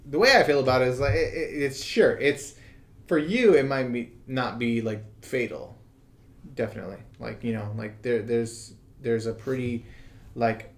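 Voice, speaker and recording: An American man with a mid-pitched voice hosts a casual news podcast. He thinks aloud in a rambling, halting way, circling back on his phrases and peppering them with "like" and "you know.". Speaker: podcast host. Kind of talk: casual conversational commentary on current news.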